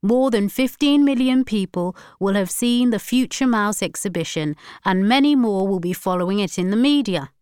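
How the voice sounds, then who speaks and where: A woman voicing a confident male activist leader with a brisk, commanding manner. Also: mid-range voice, lively intonation, a little mellow, clear, resonant tone, dry recording, studio quality, voice pushed up, natural voice. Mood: assured.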